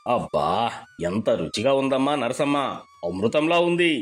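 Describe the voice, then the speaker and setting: A man's voice: medium pitch, big pitch swings, unhurried, faint music behind. A man playing a hearty, kindly middle-aged village uncle in a storybook dialogue, exclaiming in colloquial speech. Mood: appreciative. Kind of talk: acted moral storybook dialogue.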